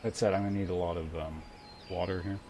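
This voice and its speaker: A man's voice: sits low, fairly even intonation, fast talker, warm tone, a little hiss or hum. A man livestreaming a game, chatting casually and thinking aloud in a rambling, sometimes profane way. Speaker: game streamer. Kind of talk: casual livestream chat while playing. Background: game music.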